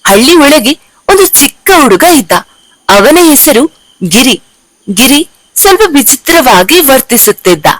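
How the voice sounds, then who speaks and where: A woman narrating a children's cartoon story in a slow, deliberate storytelling way. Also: high-pitched, big pitch swings, no echo, rough recording.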